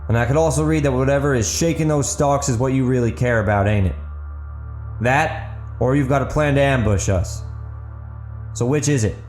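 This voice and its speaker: A man's voice: medium pitch, normal speed, pauses often, a little mellow, natural voice. Guy doing a shrewd, self-assured gunslinger talking things out, slightly raised voice, echoey, faint music. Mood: confident, probing. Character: shrewd, self-assured armed negotiator, reasoning with a foe.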